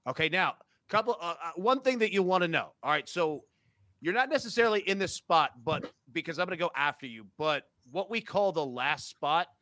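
Hype sports betting host guy, bright sharp voice, dry sound, says dude a lot. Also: on the high side, animated, brisk, no echo. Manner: upbeat, slangy, calls guests dude and man. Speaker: show host. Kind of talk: casual sports betting chat.

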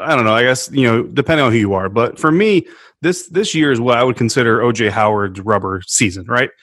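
A man's voice: mid-range voice, fairly bright tone, no room sound. A man co-hosting a sports podcast, talking through his analysis in a conversational, explanatory way.

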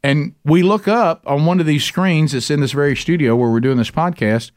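A man's voice: a little high, moderate pace, darkish tone. A man with a Southern US accent hosting a casual podcast, folksy and repeating phrases for emphasis. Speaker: podcast host.

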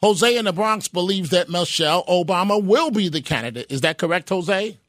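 A man hosting a call-in radio show, who cuts in on callers with quick repeated interjections. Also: high-pitched, animated, a bit bright, dry recording, clean studio sound. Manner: talks over callers with rapid repeated interjections. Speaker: radio talk show host.

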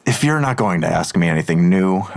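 A hard-nosed male detective in an audio drama. He is deep-voiced, curt and dry, giving sardonic, evasive answers with open defiance. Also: lively intonation, brisk, vocal fry. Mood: guarded, defiant.

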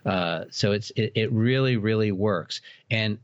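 A man with a fairly low voice talks as an interview guest, explaining his opinions conversationally. The recording is a little dull and lo-fi, with a dry sound. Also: a little slow. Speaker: interview guest, author and horror enthusiast. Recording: dull, roomy, echoing lo-fi sound.

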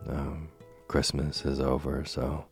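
Man host, extremely low flat voice, hoarse from a failing voice, soothing sleep-story delivery. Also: slow, soft background music. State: losing his voice. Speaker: podcast host reading bedtime stories. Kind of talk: slow soothing bedtime talk.

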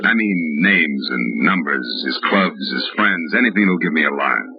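An actor playing a tough, wisecracking private detective in a 1940s radio drama, with wry, slangy delivery. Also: male voice, a bass voice, animated, moderate pace, gravelly, full character voice. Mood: soothing, blunt. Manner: wry, slangy, hard-boiled. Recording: muffled old radio recording with music.

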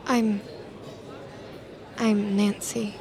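A woman's voice: mid-range voice, flat delivery, extremely slow, crowd noise. A jealous, scheming young woman. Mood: wounded, awkward. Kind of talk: audio drama acting with muttered monologue. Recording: music bed behind the voice.

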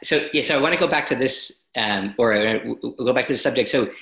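A man's voice: mid-range voice, quick pace, a bit bright. A man hosting a casual interview, talking energetically, rambling and restarting his sentences. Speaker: podcast host. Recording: roomy echo.

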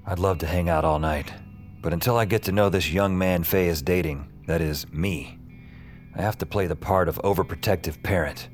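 A man acting a young, self-deprecating narrator in an audio fiction drama. His voice sits low, and he tells his story drily and conversationally, with music clearly underneath. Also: normal speed, close to an everyday voice. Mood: wry, dry. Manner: wry, dry, conversational. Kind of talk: first-person scripted audio drama narration.

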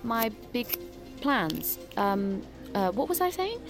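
Timid, apologetic British woman, bewildered and hesitant, high-pitched. Comic audio drama. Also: sing-song, takes it slowly, over music, full character voice. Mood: confused, hesitant. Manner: hesitant, stammering. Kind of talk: naturalistic comedy audio drama acting.

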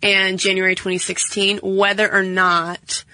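A woman's voice: medium pitch, level tone, unhurried, pushed and bright, low-quality recording. A woman co-hosting a podcast in casual chat, talking straight to her listeners. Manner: chatty, addresses listeners directly. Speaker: podcast co-host.

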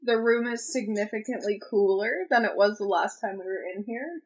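A woman's voice: mid-range voice, poor audio. A young woman co-hosting a podcast in casual chat, tossing in playful quips. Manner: playful quips, quick to laugh. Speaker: podcast co-host.

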